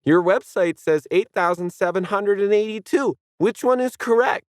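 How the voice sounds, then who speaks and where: A man's voice: high voice, moderate pace, no room sound, clean studio sound, pitched above the performer's own voice, natural voice. A man voicing a smug, bratty young male tourist who badgers and demands pedantically. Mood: pestering, demanding.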